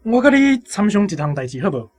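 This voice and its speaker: A man's voice: sits high, sing-song, brisk, a little mellow, slight background noise. A man with a Taiwanese accent playing an earnest, hot-blooded young soldier in a radio drama.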